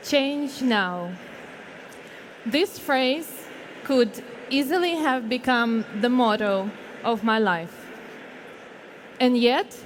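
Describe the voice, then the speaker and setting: A Ukrainian woman in her thirties, whose first language is Ukrainian, giving a slow, deliberate keynote speech on a conference stage with pauses between short phrases. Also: fairly high pitch, a little hiss or hum. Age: 30s. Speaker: foundation founder giving a keynote.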